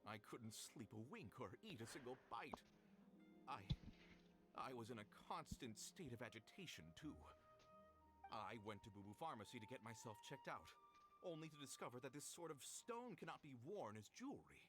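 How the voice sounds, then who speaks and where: A man's voice: mid-range voice, very animated, moderate pace, over music, natural voice. Flustered, stammering merchant man, played by an actor. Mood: anxious, pleading. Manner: stammering, pleading. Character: flustered, cornered male merchant confessing a swindle. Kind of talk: video game voice acting.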